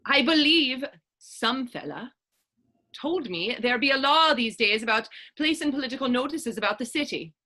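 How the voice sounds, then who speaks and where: A woman's voice: sits high, lively intonation, moderate pace, bright, forceful tone, full character voice. A woman playing Hannah, a spirited, determined Irish actress and patriot, in staged readers theater dialogue with a coaxing, earnest lilt. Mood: teasing.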